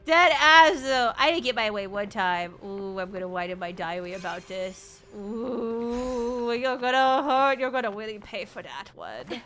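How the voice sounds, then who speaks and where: A young woman streamer giving casual game reaction commentary in an expressive, sarcastic, slangy and sweary way. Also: fairly high pitch, a little slow, hardly any pauses, slight background noise. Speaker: VTuber streamer.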